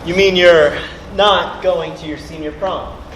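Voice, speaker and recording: A man's voice: a little high, steady tone, a little slow, a bit bright. Echoey stage, bit of noise, young guy playing casual friendly teen boy in school play.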